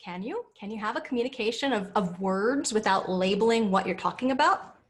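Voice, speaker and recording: A woman's voice: mid-range voice, animated, brisk. A woman on a panel speaking earnestly and emphatically, with frequent stammered restarts. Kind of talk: casual group discussion.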